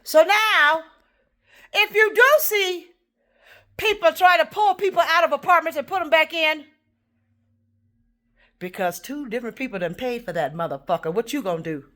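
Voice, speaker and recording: Profane ranting woman, higher voice. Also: lively intonation, normal speed, long pauses. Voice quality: profane, swings between loud and muttered. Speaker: vlogger. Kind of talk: ranting monologue.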